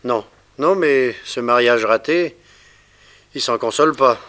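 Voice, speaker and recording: Radio drama role: man as straight-talking detective's deputy, medium pitch, theatrical period delivery. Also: measured pace, fairly bright tone, lightly acted. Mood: friendly. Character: loyal, frank male police detective lieutenant. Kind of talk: 1960s French radio drama acting.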